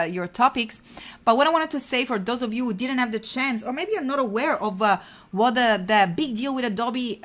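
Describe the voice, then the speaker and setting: An Italian woman hosts a live design stream, chatting easily with her viewers. Her voice sits a little high, and her speech is loose, with frequent fillers as she greets people in the chat. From Italy. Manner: chatty, warm toward viewers, many uh fillers. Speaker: livestream design instructor. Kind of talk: casual live tutorial chat.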